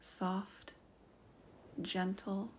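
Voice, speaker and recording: A woman guiding a meditation in a soft voice that often slips into a whisper. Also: mid-range voice, completely flat delivery, drawn-out words, a little hiss or hum. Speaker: meditation guide. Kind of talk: guided meditation narration.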